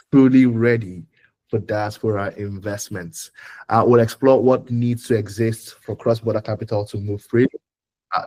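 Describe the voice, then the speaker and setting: A man hosts a launch call, talking loosely and chattily with plenty of ums. His voice sits a little low. He keeps things informal as he introduces the panel. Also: poor audio. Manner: loose, chatty, with frequent fillers. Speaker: event host. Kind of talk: hosting an online launch event.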